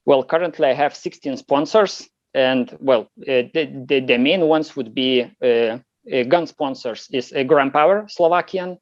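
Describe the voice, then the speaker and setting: Lithuanian-accented man, halting, muffled audio. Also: mid-range voice, dry recording. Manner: halting, many fillers and restarts. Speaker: competitive shooter guest. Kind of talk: podcast interview answers.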